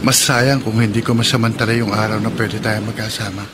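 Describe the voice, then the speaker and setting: An actor playing a gentle, eager young suitor in a radio drama. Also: male voice, lowish voice, level tone, a little fast, background noise, close to an everyday voice. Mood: easygoing, attentive. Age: young adult. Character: eager young suitor, polite call center worker. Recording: radio drama with clear background ambience.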